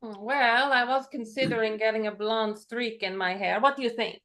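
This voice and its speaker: A woman softly asking admiring questions during a comedy show, heard from a distance. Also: fairly high pitch, steady tone, normal speed.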